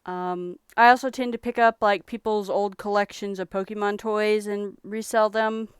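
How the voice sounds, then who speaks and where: A young woman from Texas talks in a relaxed, rambling way while showing off her thrift finds. Her voice is strikingly clear and smooth. It is recorded close up, with a dry sound. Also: mid-range voice, normal speed, dry recording. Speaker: streamer reselling thrift finds. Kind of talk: casual live-stream chat.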